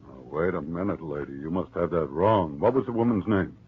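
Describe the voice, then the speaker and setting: An actor playing a gruff, skeptical police desk sergeant in 1940s radio mystery style. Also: male voice, sits low, fairly quick. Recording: muffled vintage radio broadcast recording.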